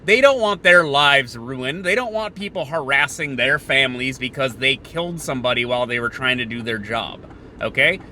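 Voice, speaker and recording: A man hosting a podcast, thinking out loud in a sardonic, opinionated political monologue that moves between halting pauses and bursts. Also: medium pitch, expressive, a little hiss or hum. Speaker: podcast host.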